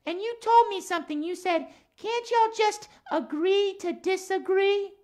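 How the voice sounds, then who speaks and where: Man putting on a very high-voiced earnest girl cat puppet. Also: darkish tone. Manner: halting, repetitive, earnest. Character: earnest, principled female pet cat puppet. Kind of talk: children's puppet show dialogue.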